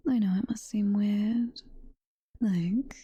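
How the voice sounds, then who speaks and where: A woman's voice: medium pitch, steady tone, no echo, dull audio. Woman acting a whispering, doting British demon girlfriend. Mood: playful, hopeful. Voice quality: breathy, soft-spoken whisper. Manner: slow, tender, coaxing. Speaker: ASMR roleplay performer. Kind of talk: whispered ASMR roleplay. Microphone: close ASMR microphone.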